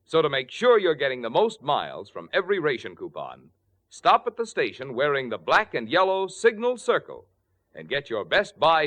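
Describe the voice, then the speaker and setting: A man's voice: medium pitch, a bit bright. A man with an American accent announcing commercials and credits in 1940s radio style. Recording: 1940s radio broadcast with faint music.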